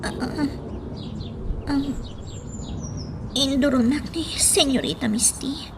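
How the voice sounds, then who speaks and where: A woman playing a gentle, frail young woman pleading in pain in an Ilocano radio drama. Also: high-pitched, slow, gravelly, background noise, full character voice. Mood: pleading, weak.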